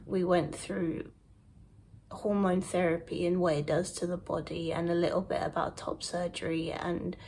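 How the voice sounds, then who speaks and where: Young British-raised man, vlogger, congested and nasal from a cold, slow gravelly speech, faint hiss behind. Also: high-pitched, low-quality recording. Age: 20s. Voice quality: slightly nasal, snotty. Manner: hesitant, soft-spoken, with restarts and pauses. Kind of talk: personal vlog recap.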